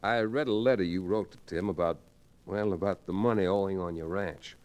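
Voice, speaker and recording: An actor playing a measured, courteous frontier lawyer in an old Western radio drama. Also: male voice, deep voice, darkish tone, natural voice. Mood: courteous, thoughtful.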